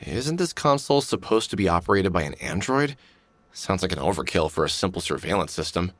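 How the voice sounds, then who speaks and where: A weary middle-aged game protagonist with a low, expressive voice. He is dry and wry, grumbling his way through muttered observations and dialogue. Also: a little fast, no echo. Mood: wary, grumbling. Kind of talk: video game voice acting, muttered inner monologue and dialogue.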